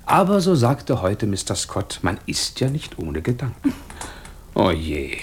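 A man's voice: lowish voice, wildly swooping pitch, a little slow, breathy edge, heavily acted. A man playing a musing, teasing intellectual in an intimate radio drama dialogue, pensive and playful by turns. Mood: pensive, concerned.

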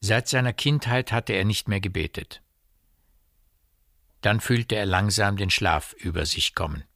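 Low-voiced, measured male narrator, close and dry. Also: vocal fry, fairly bright tone, dry recording. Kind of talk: literary scripted narration.

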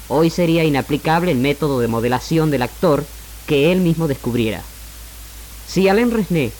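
A man with an Argentine accent delivers a scripted radio monologue in a measured, formal, rhetorical manner as the host. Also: on the high side, darkish tone, slight background noise, rough recording. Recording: 1969 AM radio archive, music bed. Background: music.

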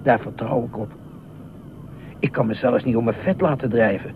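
Through a thin, phone-like recording with clear noise comes a man acting an eccentric middle-aged beach watchman in a radio play. He is pompous and officious, rambling on with self-importance and excitable indignation. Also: mid-range voice, normal speed, close to an everyday voice. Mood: musing, earnest. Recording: noisy, tinny phone-like radio drama recording.

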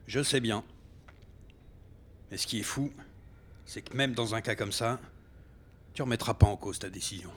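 An actor playing a serious, matter-of-fact rebel leader in a science fiction audio drama. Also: male voice, fairly low pitch, normal speed, long pauses, a little hiss or hum, natural voice. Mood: reproachful. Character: reproachful male rebel leader briefing fighters.

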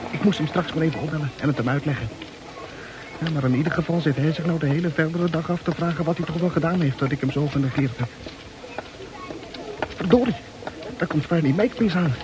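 A man's voice: a little high, noisy background, lightly acted. An actor playing a nervous bachelor muttering his anxious thoughts as he walks through town in an old radio play. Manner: anxious inner monologue. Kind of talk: 1960s radio play acting.

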